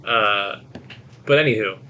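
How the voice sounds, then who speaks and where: A man co-hosting a podcast, giving a casual movie review in a halting, rambling storytelling way with acted-out quotes. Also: on the low side, pushed and bright, dull audio, a little hiss or hum. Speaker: podcast co-host.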